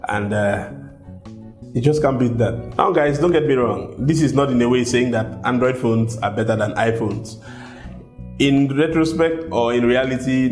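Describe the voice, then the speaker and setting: A man from Nigeria with a Nigerian accent, talking casually to his viewers as a tech vlogger and sometimes repeating words while he thinks. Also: mid-range voice. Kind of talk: casual talk to camera. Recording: echoey room with soft guitar music behind.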